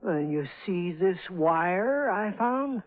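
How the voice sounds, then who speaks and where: A man's voice: high-pitched, lively intonation, takes it slowly. An American man playing a folksy, deferential building superintendent with a hesitant, homespun way of talking, in 1950s radio drama. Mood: hesitant, confiding. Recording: tinny, band-limited old radio recording.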